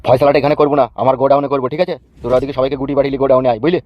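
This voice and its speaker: A man voicing a bullying, mocking, foul-mouthed gang boss in an animated comedy. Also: medium pitch, rapid-fire, no echo, natural voice. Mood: gruff command. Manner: mocking, threatening, swaggering. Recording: dull lo-fi track, faint music.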